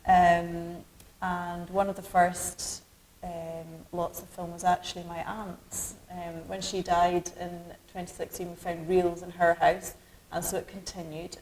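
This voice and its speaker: A middle-aged Scottish woman, a film director, answering interview questions thoughtfully with many pauses and restarts. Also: fairly low pitch, a little mellow, a little hiss or hum, poor audio. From Scotland. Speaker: documentary director.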